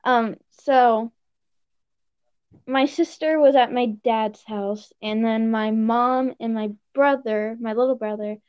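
Teen girl, high voice, slow and halting, casual chat, lo-fi sound. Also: steady tone, clear, resonant tone. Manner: hesitant, halting, searches for words. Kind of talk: casual chat between friends. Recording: dull lo-fi recording.

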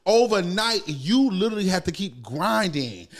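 A man's voice: high-pitched, sing-song, normal speed. An American man chatting as a podcast guest, telling stories casually and emphatically, repeating phrases for stress and swearing freely. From United States, born in New York, raised in Savannah, Georgia. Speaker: podcast guest, comedian and motivational speaker. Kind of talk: casual interview storytelling.

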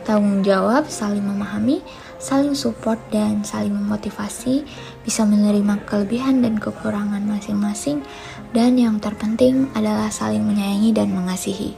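Creaky, mellow young woman. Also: mid-range voice, fairly even intonation, normal speed, soft background music. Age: 20s. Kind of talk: prepared personal testimony.

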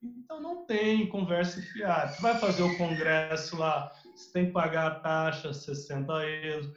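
A Brazilian man with a slightly high voice talks as a podcast guest, telling animated stories full of restarts. The sound is roomy and a bit dull, like lo-fi audio. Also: normal speed, slight background noise. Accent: Brazilian Portuguese. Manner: animated storyteller, many restarts, mimics others. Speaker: guest, music researcher. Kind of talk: casual podcast interview.

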